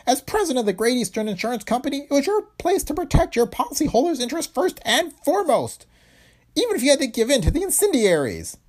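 A shrill, ranting factory owner. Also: male voice, big pitch swings, normal speed, exaggerated voice. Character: shrill, furious small older male factory owner.

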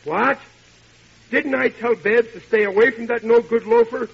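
A man's voice: very high-pitched, moderate pace, vocal fry, husky, exaggerated voice. An actor playing a blustering, dim-witted working-class family father in a 1940s radio sitcom, indignant and folksy with ungrammatical speech. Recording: hissy vintage radio broadcast, dull.

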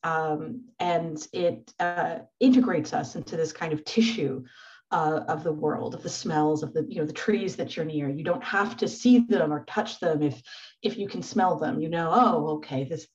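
A woman guest answers interview questions in a thoughtful, searching way, with plenty of fillers. Her voice is somewhat low, and the recording sounds dull and muffled, as if it came over a remote link. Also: darkish tone, a little hiss or hum. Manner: thoughtful, searching, many fillers and small laughs. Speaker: podcast guest. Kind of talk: conversational interview.

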